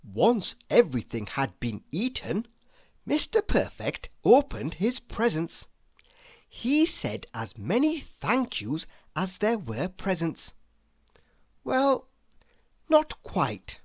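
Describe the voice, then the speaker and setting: Expressive, unhurried woman reading a storybook. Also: lowish voice, husky, dry recording. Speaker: storybook narrator. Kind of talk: scripted children's read-aloud.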